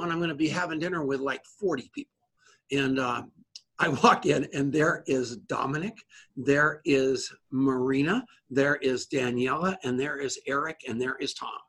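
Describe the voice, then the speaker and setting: A male podcast host opens the show with a gushing, self-deprecating story. His voice is bright and slightly husky, pitched on the high side for a man. He talks loosely and keeps the energy up. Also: rough recording. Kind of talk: casual show introduction.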